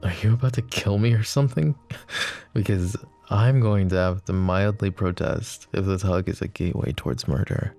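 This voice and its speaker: A man voicing a lovesick, possessive young mafia boss in an ASMR roleplay, speaking softly and intimately, often close to a whisper. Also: low-pitched, moderate pace, vocal fry, faint music behind. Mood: tender, yearning. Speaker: voice actor.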